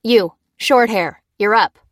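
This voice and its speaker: A woman's voice: medium pitch, takes it slowly, dry recording, close to an everyday voice. A brusque female clerk in an audiobook, voiced by a woman narrator. She is curt and official, giving dispassionate orders. The recording is dry and close. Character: brusque, dispassionate female clerk, turning flustered under pressure. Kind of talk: audiobook character acting.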